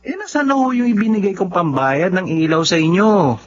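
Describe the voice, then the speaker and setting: A man's voice: sits high, lively intonation, brisk. A man playing an earnest, dutiful young working man in a radio drama. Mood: weary, firm. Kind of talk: Tagalog radio drama acting. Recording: dull, slightly noisy radio drama audio.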